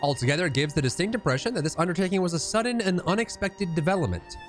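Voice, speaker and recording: A man's voice: high-pitched, animated, rapid-fire, soft background music. A man voicing an earnest, polite young woman scholar from a fantasy game, formal and bookish. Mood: thoughtful.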